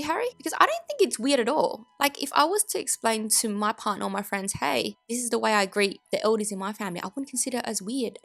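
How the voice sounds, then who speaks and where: An Australian woman with an Australian accent, giving blunt, opinionated commentary full of indignant rhetorical questions. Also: fairly high pitch. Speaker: commentary vlogger.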